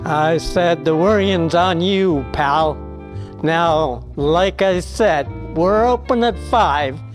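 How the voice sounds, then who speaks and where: An actor playing a menacing nightclub tough in a 1940s radio play, curt, slangy and threatening. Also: male voice, fairly high pitch, slow, faint music behind.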